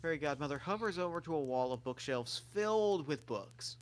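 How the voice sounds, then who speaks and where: Male table-read narrator; fairly high voice, scripted scene descriptions. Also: animated, fairly quick.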